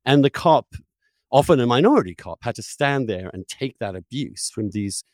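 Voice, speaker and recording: A man hosting an opinionated conversational discussion, phrasing his points emphatically and deliberately, with pauses for effect. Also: medium pitch, expressive, darkish tone, no room sound.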